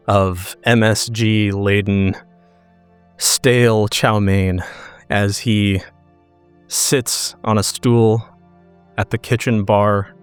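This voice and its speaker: A man narrating his character's scene in a tabletop game, measured and deliberate, phrase by phrase. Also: lowish voice, clean tone. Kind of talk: slow, descriptive story narration.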